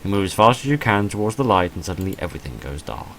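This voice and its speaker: A low-pitched man narrates a text-based game, switching between reading aloud and offhand commentary. His asides are wry and self-deprecating. The recording carries noticeable background noise. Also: big pitch swings, racing, fairly bright tone. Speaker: gaming video narrator reading a visual novel aloud.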